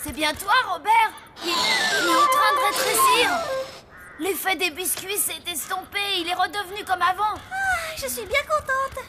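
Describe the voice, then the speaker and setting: A woman voicing an eager, kind zombie child in a French animated cartoon. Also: extremely high pitch, normal speed, over loud music. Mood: relieved. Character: excitable, kind zombie child with a pet newt.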